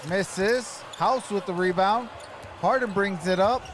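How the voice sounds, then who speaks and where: A man's voice: high-pitched, takes it slowly, fairly bright tone. A man giving casual, clipped play-by-play of a basketball game in terse short phrases. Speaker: livestream play-by-play caller.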